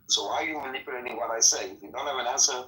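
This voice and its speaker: A man's voice: medium pitch, very fast, bright, forceful tone, reverberant, recorded from a distance, low-quality recording. Insistent man, native Hebrew speaker. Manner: insistent, repeats himself, stammers when pressed. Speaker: debater. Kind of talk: heated online debate.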